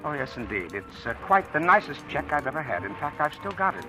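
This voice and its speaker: An actor playing a smooth, menacing blackmailer who is mockingly polite and taunting, in a 1940s radio drama style. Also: male voice, fairly high pitch, very animated. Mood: smug. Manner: suave, taunting, deliberate drawn-out politeness. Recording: old radio drama recording, music underneath.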